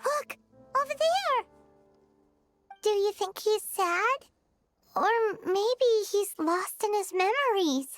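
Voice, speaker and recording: A tiny floating fairy sidekick, with an extremely high childlike voice that takes its time over each question. She is curious and excitable, a video game companion with music behind her. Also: slow pace, long pauses, dry recording, exaggerated voice. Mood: concerned wonder.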